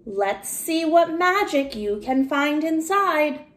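A woman's voice: high voice, lively intonation, slow, fairly bright tone, voice pushed up, full character voice. A woman voicing an encouraging male magician in a children's storybook, with a guiding manner. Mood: inviting. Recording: roomy home recording with noticeable hiss.

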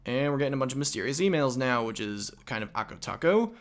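A man's voice: medium pitch, big pitch swings, fast. A young man casually commenting as he plays a game, rambling and self-mocking. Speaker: let's play host.

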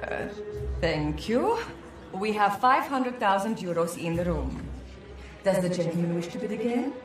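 A woman's voice: medium pitch, animated, a little slow, natural voice. A woman acting a poised, formal auctioneer in a cinematic video game. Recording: very echoey hall with music.

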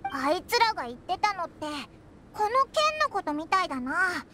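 A woman voicing an excitable little female fairy companion in a game dub, chirpy and blunt. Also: moderate pace, heavy background noise. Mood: indignant. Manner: chirpy, blunt, high and bouncy. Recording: game audio with loud music.

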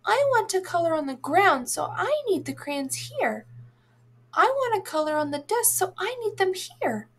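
A woman's voice: very high voice, big pitch swings, normal speed, fairly bright tone, exaggerated voice. A woman voicing earnest, insistent young children in a picture book. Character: young children bargaining over crayons, earnest.

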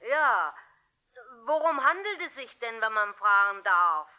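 An actress playing a plucky, gruff, plump household cook, blunt and folksy, in a 1960s German radio play. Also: female voice, a little high, sing-song, unhurried. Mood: brusque. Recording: dull vintage radio play sound.

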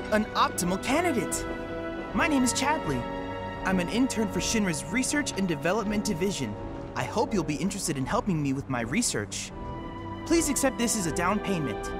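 A high, boyish young intern in a game. His speech is formal and exact, almost mechanical in wording, yet lively in pitch, with music behind it. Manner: formal, precise, analytical. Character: precise, earnest boyish research intern, oddly robotic. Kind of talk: video game character acting.

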